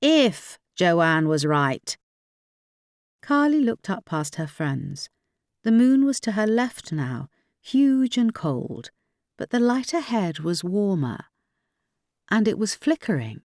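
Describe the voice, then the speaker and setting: A woman's voice: medium pitch, very animated, takes it slowly, darkish tone, no room sound, clean studio sound. A woman audiobook narrator reading dramatic fiction from a script.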